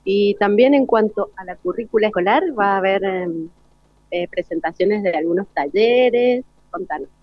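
A woman's voice: medium pitch, moderate pace, no room sound. Argentine woman hosting live radio, dull sound. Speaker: radio host. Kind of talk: live outside broadcast interviewing. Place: school event, remote broadcast. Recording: dull lo-fi radio broadcast link.

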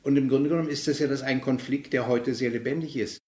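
A man giving a reflective explanation in an interview, searching deliberately for his phrasing. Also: mid-range voice, fairly even intonation, a little mellow.

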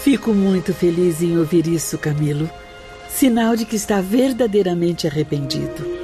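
An actress playing a kindly spirit sister who guides others in a gentle, instructive way in a radio drama. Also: female voice, lowish voice, animated, moderate pace, over music, lightly acted. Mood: pleased, kindly.

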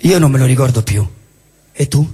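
A man acting a disillusioned, jobless character, blunt yet wistful, in halting stage dialogue with repeated phrases and a husky voice. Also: mid-range voice, mellow, natural voice. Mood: lost, wry. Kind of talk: theatrical stage dialogue and monologue. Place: theatre hall, live show. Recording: echoey hall, live stage recording.

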